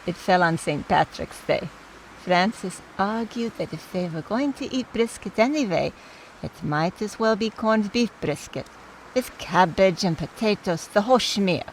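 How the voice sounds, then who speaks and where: A woman's voice: lowish voice, slight background noise, low-quality recording. A woman playing a fond, opinionated, wry older aunt in mourning, in radio play dialogue.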